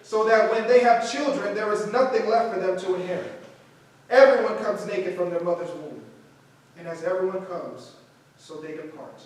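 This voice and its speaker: High-voiced American man, a stage actor's role, struggling but proud idealist, recorded far off with heavy echo. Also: moderate pace, lightly acted. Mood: solemn. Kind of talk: theatrical stage dialogue. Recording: very echoey hall, distant microphone.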